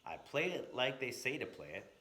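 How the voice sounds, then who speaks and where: A man's voice: mid-range voice, very animated, moderate pace, sharp tone. A man voicing a proud, stubborn blues musician in a storybook, speaking in emphatic phrases. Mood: frustrated. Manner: emphatic, defiant, clipped phrases. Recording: roomy, echoing room sound.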